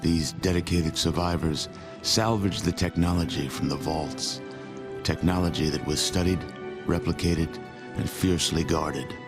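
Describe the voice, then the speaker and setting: A grave game narrator with a deep, low voice. His delivery is scripted, solemn and portentous, in a cinematic style. Music plays clearly behind him. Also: fairly even intonation, a little slow. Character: grave, solemn male narrator of post-nuclear history.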